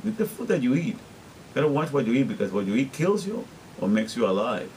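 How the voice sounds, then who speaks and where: A man's voice: medium pitch, sing-song, fairly quick, a little mellow, a little hiss or hum, poor audio. A middle-aged man from Guatemala, talking earnestly and repetitively in a rambling personal monologue, often restarting his sentences. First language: Spanish. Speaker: vlogger.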